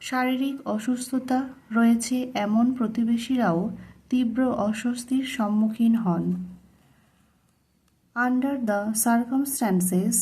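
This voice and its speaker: A woman tutor reading a model letter aloud as a lesson, in deliberate word-by-word, dictation-style phrasing. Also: a little high, darkish tone, poor audio. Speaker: English tutor.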